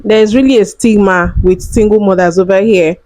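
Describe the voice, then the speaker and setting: A woman hosts a reaction video and comments frankly on what she hears, stressing her points. Her voice sits at an ordinary pitch. The recording is close and dry. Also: moderate pace, a little mellow, no echo. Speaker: reaction channel host.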